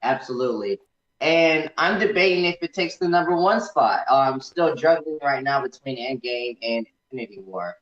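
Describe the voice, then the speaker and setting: A man's voice: medium pitch, animated, normal speed. A man chatting informally as a guest film commentator, in a casual, colloquial style. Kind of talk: casual chatty movie discussion. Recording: echoey room, dull lo-fi audio.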